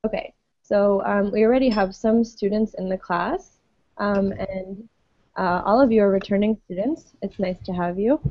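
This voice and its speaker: A woman who teaches English, leading an online group class in a patient, friendly way with many pauses and ums. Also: medium pitch, no room sound. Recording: dull lo-fi web call audio.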